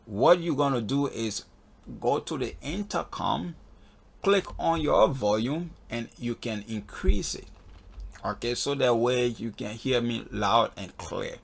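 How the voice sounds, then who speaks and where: Gravelly man giving a casual tutorial. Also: medium pitch, lively intonation, a little slow. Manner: step-by-step, repeatedly checking in with "okay". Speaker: flight instructor in a tutorial video. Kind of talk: casual spoken software walkthrough. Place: voice-over on a screen recording.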